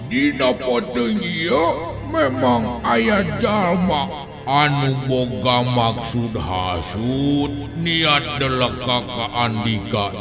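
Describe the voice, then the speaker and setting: Male storyteller as ancient protective spirit, solemn, drawn-out words, extremely unhurried, music behind, audio drama. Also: mid-range voice, exaggerated voice. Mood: solemn, warning.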